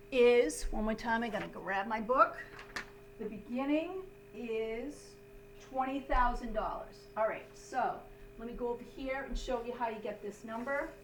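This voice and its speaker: A woman instructor giving a step-by-step classroom lesson in an instructive way, often checking in with short tags. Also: on the high side. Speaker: accounting instructor. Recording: roomy, distant mic, faint hum.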